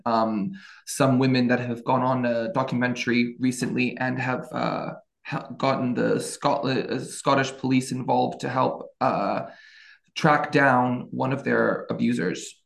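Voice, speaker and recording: A young man in his twenties, from France, talks as a podcast guest. His voice has a gravelly edge, and his pitch sits in a middle range. He stops and restarts often, leaning on fillers as he gathers his thoughts. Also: steady tone, measured pace. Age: 20s. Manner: hesitant, many fillers and restarts. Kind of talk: casual interview storytelling.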